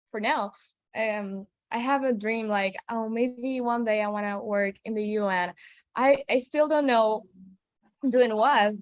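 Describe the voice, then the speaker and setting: A woman's voice: a little high, dry recording. A woman from Venezuela whose first language is Spanish, earnestly telling a personal story on a video call, often restarting as she searches for words. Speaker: storyteller guest.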